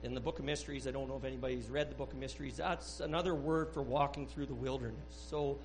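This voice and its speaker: A man sharing a personal testimony at a church service, speaking earnestly and haltingly with many restarts. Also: mid-range voice, fairly even intonation, a little hiss or hum, poor audio. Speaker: church member giving a testimony. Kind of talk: rambling spoken testimony.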